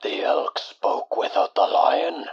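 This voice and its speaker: A man voicing a hulking lady mutant who rambles slowly in cryptic riddles with a husky, gravelly rasp. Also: in a whisper, dull audio, natural voice. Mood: cryptic, ominous. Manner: slow, cryptic, speaks in riddles. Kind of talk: post-apocalyptic audio drama acting.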